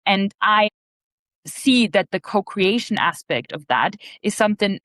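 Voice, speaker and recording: A woman from Austria speaks as an interview guest in a mid-pitched voice. Her delivery is conversational, earnest and reflective. The recording is dry and free of room echo. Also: expressive, measured pace, fairly bright tone. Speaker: podcast guest, leadership organization CEO.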